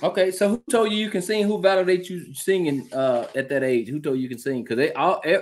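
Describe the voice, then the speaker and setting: A man's voice: on the high side, fairly even intonation, brisk. A man hosting a casual interview, teasing his guest and repeating his questions. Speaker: interviewer, host.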